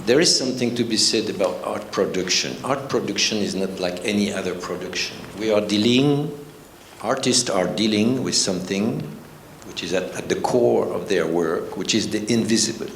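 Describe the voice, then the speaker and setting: A man from the south of France with a French accent, speaking reflectively on a panel in deliberate, measured phrases. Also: fairly low pitch. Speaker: panel speaker, arts mentor. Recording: roomy echo, faint background noise.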